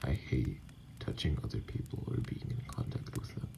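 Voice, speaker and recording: A man voicing a wounded, weary, distrustful vampire in an ASMR roleplay, speaking hesitantly in formal, guarded wording with a gravelly, sometimes breathy voice. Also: huge pitch swings, normal speed, full character voice. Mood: reluctant, weary. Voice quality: very deep, gravelly, breathy at times. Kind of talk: ASMR roleplay audio acting.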